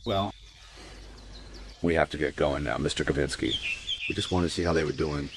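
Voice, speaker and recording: A deep voice of a measured policeman in a radio crime drama. He is resigned and polite, speaking over clear ambient noise of birds and traffic. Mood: courteous, subdued. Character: resigned, measured male police officer, courteous. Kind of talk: radio play acting.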